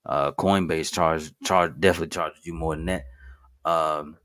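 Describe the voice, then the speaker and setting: Low-voiced man in his thirties, rambling. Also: flat delivery, moderate pace, a bit bright. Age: 30s. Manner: rambling, self-correcting, with frequent fillers and restarts; informal, addresses viewers as "guys". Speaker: finance video host. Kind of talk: casual screen-share commentary.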